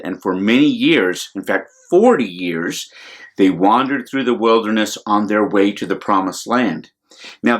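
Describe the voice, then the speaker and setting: An American man, a priest, telling a Bible story to children in simple words with deliberate repetition for emphasis. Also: fairly low pitch, a bit bright. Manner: simple, deliberate explanations with repeated phrases for emphasis.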